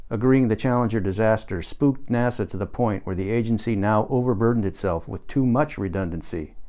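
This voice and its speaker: A man's voice: lowish voice, a little mellow. A man reading his own novel aloud as scripted audiobook narration.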